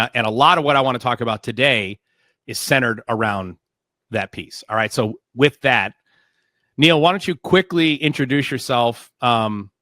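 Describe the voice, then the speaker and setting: A man's voice: medium pitch, sharp tone, dry recording, clean studio sound. A man hosting a tech interview, talking emphatically and often restarting his words. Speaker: podcast host. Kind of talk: casual interview.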